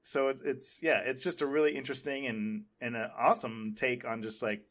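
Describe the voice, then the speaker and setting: A man's voice: mid-range voice, level tone, brisk, fairly bright tone. A man co-hosting a podcast, chatting casually and enthusiastically about pop culture in a rambling way. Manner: rambling, enthusiastic fan talk. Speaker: podcast co-host.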